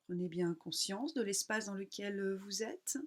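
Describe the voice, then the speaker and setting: A woman yoga teacher leads a guided relaxation in a mid-range voice. She speaks calmly and without hurry, giving her instructions in short phrases separated by pauses. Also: medium pitch.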